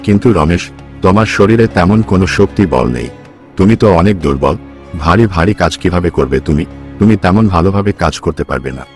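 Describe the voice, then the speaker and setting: A man's voice: low-pitched, animated, quick pace, a little mellow, faint music behind, voice pushed down. A man voicing a stingy, scheming village headman in a cartoon story, with a curt, condescending manner. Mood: dismissive. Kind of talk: Bengali cartoon story dialogue.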